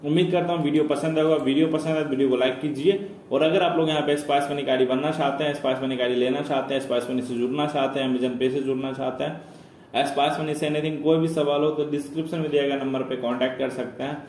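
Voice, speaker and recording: A man's voice: fairly high pitch, steady tone, fast talker, a little mellow. A man presenting a screen-recorded tutorial, explaining each step and calling his viewers friends. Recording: echoey room with faint noise.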